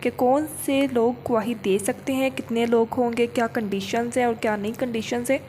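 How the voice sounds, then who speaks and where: A woman's voice: a little high, steady tone, moderate pace, warm tone, a little hiss or hum. A woman giving an informal law lesson, explaining step by step, repeating points with frequent check-in tags and mixing in English legal terms. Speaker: law lecturer.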